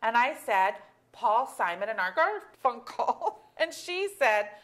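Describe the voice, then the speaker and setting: A woman's voice: fairly high pitch, very animated, takes it slowly, fairly bright tone, heavily acted. A woman acting an exasperated, candid middle-aged mother in a staged comedy reading, with a wry, emphatic delivery. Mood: chatty, amused. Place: auditorium stage.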